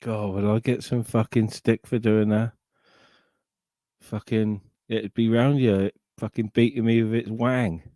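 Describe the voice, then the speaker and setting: A man's voice: fairly low pitch, little pitch movement, measured pace, dark tone, no room sound, studio quality. A middle-aged man from Sussex with an English accent, chatting casually and swearing on a live stream into a desk microphone. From England. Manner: sweary, rambling banter. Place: home, live stream call.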